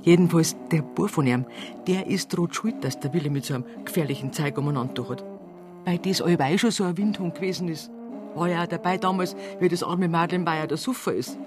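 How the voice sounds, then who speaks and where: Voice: medium pitch, brisk, music in the background, natural voice. A performer playing an aggrieved, talkative small-town local with a Bavarian accent in a radio drama, rambling in dialect. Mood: aggrieved, grumbling. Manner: grumbling, rambling dialect talk. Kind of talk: naturalistic radio crime drama acting.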